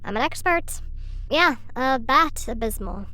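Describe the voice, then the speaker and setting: A bright-voiced flippant influencer. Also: female voice, high voice, lively intonation, unhurried, heavily acted. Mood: sarcastic. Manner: flippant, sarcastic, whiny. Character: vain young woman influencer time traveler. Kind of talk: audio drama acting.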